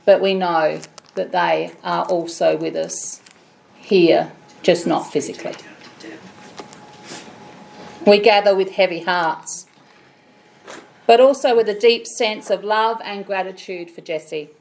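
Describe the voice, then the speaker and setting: Solemn Australian woman officiating, gravelly low voice. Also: fairly even intonation, poor audio. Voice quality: loud voice. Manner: measured, solemn delivery. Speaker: funeral celebrant. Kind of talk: officiating a funeral service. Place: funeral chapel.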